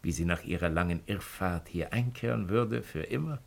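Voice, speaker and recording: An actor playing a sly, insinuating former Roman governor in a 1950s radio play, with a theatrical storytelling manner. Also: male voice, deep voice, normal speed, vocal fry. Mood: teasing, insinuating.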